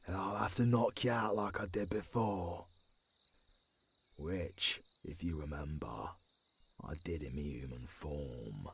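A man playing a gruff, centuries-old werewolf mentor in an audio roleplay, with a British accent, a raspy voice and a stern, scolding manner. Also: low-pitched, exaggerated voice. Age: older. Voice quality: raspy, gravelly. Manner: stern, swearing, scolding drill-master.

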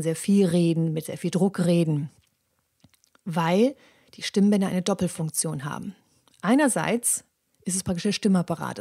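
A woman hosting a podcast, explaining how the voice works in a lively, chatty monologue with many fillers and repeats. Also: lowish voice. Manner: lively, acts out little dialogues in quick voices; frequent fillers and repeated words. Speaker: podcast host and voice coach.

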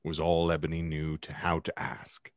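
A man reading fiction aloud in a theatrical, ornate storytelling style with dramatic pauses. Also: rumbling low, monotone, no room sound, studio quality. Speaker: podcast host reading aloud his own fan fiction. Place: indoor room at home, video call.

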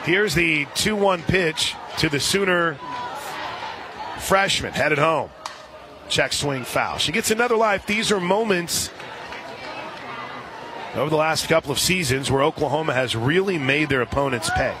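An American man doing live radio play-by-play commentary of a softball game. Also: on the high side, expressive, measured pace, pauses often, background noise. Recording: outdoor stadium broadcast with crowd and music. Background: ballpark crowd and music.

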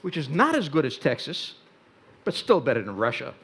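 An older Hungarian-born man giving a wry, deliberate talk on a stage in a large tent before an audience. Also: mid-range voice, wildly swooping pitch, vocal fry, heavy background noise. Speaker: speaker.

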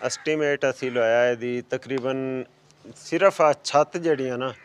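A man's voice: medium pitch, measured pace. A man in his thirties from Pakistan with a Punjabi accent, casually explaining his building project on site. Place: outdoors at an unfinished brick building site. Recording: outdoor location sound with background noise.

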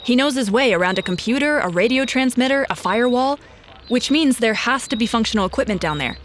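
A woman's voice: on the high side, animated, fast talker, a little hiss or hum, natural voice. An actress playing a driven woman investigator in an audio drama, speaking in a clipped, determined way. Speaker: detective lead in audio drama. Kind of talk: audio drama acting with inner narration.

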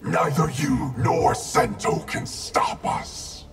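An actor playing a cold, vengeful undead ninja in a fighting game, with a gravelly, distorted growl and threatening delivery. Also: male voice, a little high, slow pace, exaggerated voice. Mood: defiant. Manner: threatening, declamatory. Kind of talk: fighting game character dialogue. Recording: loud music behind.

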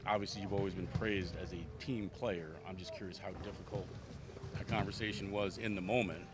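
Deep-voiced reporter over loud noise. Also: male voice, steady tone, brisk. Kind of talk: press question.

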